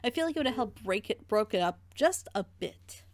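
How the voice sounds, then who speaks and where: A talkative woman hosting a casual review chat. She speaks at a middle pitch and gives opinions freely. The sound is close and dry, with no room around it. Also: animated, brisk, no room sound. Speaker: host of a group reaction show. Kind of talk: casual group discussion and critique.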